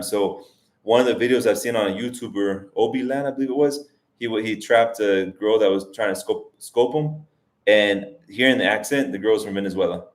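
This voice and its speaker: A man around forty hosts a casual live Q&A, reading out viewer questions. His voice sits fairly low, and he rambles and restarts as he thinks things through aloud. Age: 40s. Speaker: livestream host. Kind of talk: casual livestream Q&A. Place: lives in Colombia as an immigrant.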